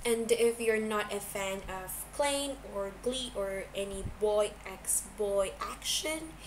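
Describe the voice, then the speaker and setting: A woman's voice: medium pitch, slow. A woman speaking informally and somewhat tentatively as an amateur reader of fan fiction. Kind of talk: casual introduction and fiction narration. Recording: noisy amateur home recording.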